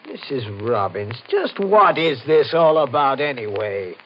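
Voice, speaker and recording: An actor in an old-time radio mystery playing a suspicious, puzzled man who questions people in a brisk, incredulous manner. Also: mid-range voice, very animated. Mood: puzzled questioning. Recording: muffled, hissy old radio recording.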